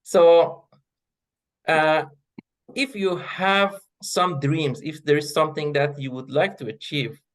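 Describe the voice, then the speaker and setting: Hesitant Persian-speaking man, measured answers. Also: on the high side, steady tone. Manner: hesitant, many fillers and restarts. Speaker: guest, associate professor of linguistics. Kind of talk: interview answers.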